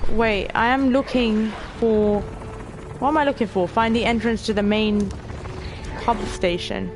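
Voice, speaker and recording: A woman in her late teens with a stuffy nose, casually narrating a game stream, chatty and excitable, swearing offhand and talking to her viewers. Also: fairly high pitch, a little slow, music in the background. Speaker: gaming streamer. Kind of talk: casual let's-play commentary.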